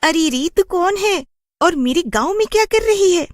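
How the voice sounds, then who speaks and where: A cranky village witch from a horror cartoon. Her voice sits very high and swings about as she scolds indignantly. She guards her territory fiercely. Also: expressive, moderate pace, no room sound.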